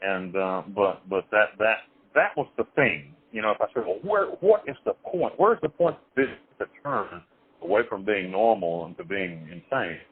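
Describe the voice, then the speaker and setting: Man, phone interview guest, rambling, halting restarts, blunt, tinny phone-line sound. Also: fairly low pitch, big pitch swings, breathy edge. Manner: blunt, occasionally crude. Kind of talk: phone interview, recounting his life.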